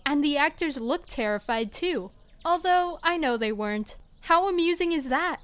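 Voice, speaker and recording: A woman's voice: high voice, lively intonation, moderate pace, no echo. A woman playing a weird, secretive lab worker in an audio fiction podcast, speaking in a polite, formal, quirky way. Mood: delighted. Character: odd, cheerful, secretive woman lab worker who relishes unpleasantness.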